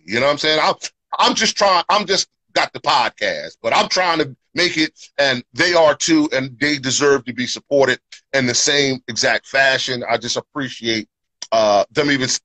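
A man's voice: mid-range voice, sharp tone, dry recording, rough recording. A man hosting a casual live podcast, talking in an upbeat, loose way with lots of fillers and "you know what I'm saying". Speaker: podcast host. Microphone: podcast microphone.

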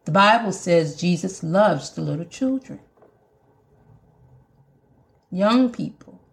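Gravelly Jamaican woman preaching. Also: fairly low pitch, expressive, unhurried. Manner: earnest, emphatic, with restarts. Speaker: podcast host. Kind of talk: preaching podcast monologue.

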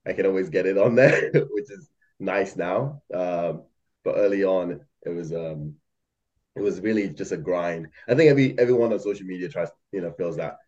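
A man's voice: deep voice, moderate pace, a little mellow, lo-fi sound. A young man who grew up in Malaysia, chatting casually as a podcast guest, rambling with many fillers and laughs. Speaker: podcast guest, algo trader. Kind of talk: casual chat.

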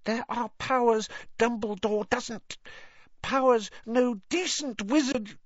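A squeaky, strained little elf, pitched very high and swooping. He is anxious, devoted and servile, pleading earnestly like a storybook creature. The sound is dry and a little dull. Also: slow pace, no echo, heavily acted. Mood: reverent, urgent. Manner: pleading, servile, refers to self in third person.

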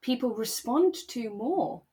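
A woman co-hosting a podcast, talking thoughtfully and in a relaxed way about a radio drama, repeating phrases for emphasis. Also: fairly high pitch, takes it slowly. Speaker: podcast co-host.

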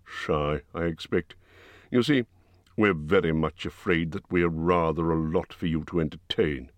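Man playing an old wizard, low and calm, slyly tactful, dry close sound. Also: unhurried, dry recording.

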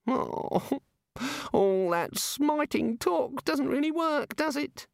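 Guy doing tiny old god, high wobbly voice, slow and pompous, dry sound. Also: expressive, vocal fry, no room sound, full character voice. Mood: gloomy. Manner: high quavering voice, drawn-out and pompous then deflated. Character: tiny fussy old male god, flustered and gloomy.

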